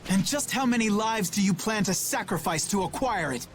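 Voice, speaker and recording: A man's voice: sits high, expressive, moderate pace, a little hiss or hum. A man voicing an idealistic young knight, righteous, formal and intense, in a video game cutscene. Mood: appalled.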